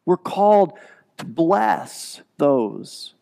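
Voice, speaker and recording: A man in his forties preaching a sermon in a church sanctuary, animated and gesturing, often repeating words as he restarts. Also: a little high, very slow, creaky. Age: 40s. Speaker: pastor. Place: large church sanctuary, speaking from the stage.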